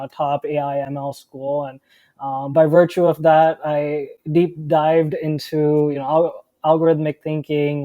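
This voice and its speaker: A man's voice: on the high side, warm tone, no room sound. A young man from India talking casually as a startup founder guest, chatty with many fillers and restarts. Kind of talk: casual interview.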